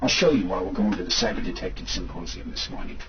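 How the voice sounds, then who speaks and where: Guy playing excitable hungover dude in an audio drama, kinda muffled, faint noise. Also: sits low, fast talker, lightly acted. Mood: excited. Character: excitable hungover man showing off a prank app to a friend.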